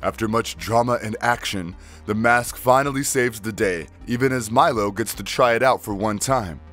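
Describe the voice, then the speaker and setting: A man's voice: lowish voice, moderate pace, a bit bright, soft background music. A man reading a scripted recap as the narrator of a video.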